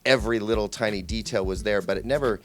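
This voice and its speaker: A man working as a tech reviewer, doing a casual interview in a halting, conversational way with frequent ums. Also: on the low side, a bit bright.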